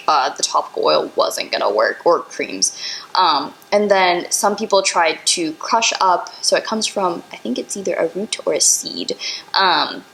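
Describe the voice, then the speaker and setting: A young woman with a somewhat low voice co-hosts an educational podcast. She talks casually, with plenty of fillers, and walks the listener through science step by step. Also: fairly bright tone, rough recording. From Indian heritage, Bengali. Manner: chatty, many fillers, explains step by step. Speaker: podcast co-host.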